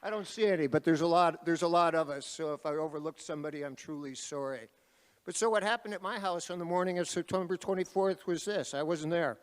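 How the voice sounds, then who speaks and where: A man moderating a panel at an activist conference, speaking loudly and calling out to the crowd like a rally speaker. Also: quick pace, smooth. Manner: loud, booming, calls out to the crowd. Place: large conference hall. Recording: reverberant hall.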